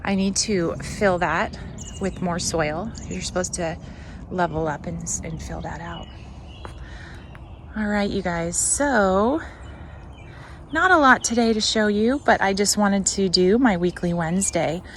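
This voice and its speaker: A woman's voice: on the low side, unhurried, slight background noise. A woman talking casually outdoors as she hosts a home garden tour, chatting and musing aloud as she looks around. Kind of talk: casual walk-and-talk vlog.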